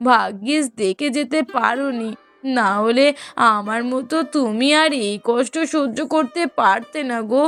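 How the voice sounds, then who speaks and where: Casting: woman to play a sorrowful aged mother for a moral cartoon, plaintive, drawn-out delivery, longing and weary. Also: on the high side, fairly bright tone, soft background music. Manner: slow, plaintive, drawn-out lamenting. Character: grieving elderly widowed mother longing for her late husband. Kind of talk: Bengali moral-story cartoon voice acting.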